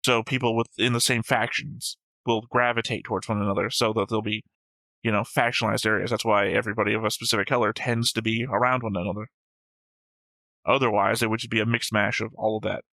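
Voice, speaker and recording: A man's voice: fairly low pitch, level tone, fast, sharp tone, no room sound. A man co-hosting a reaction show, talking casually and analytically through an anime episode in an explanatory way.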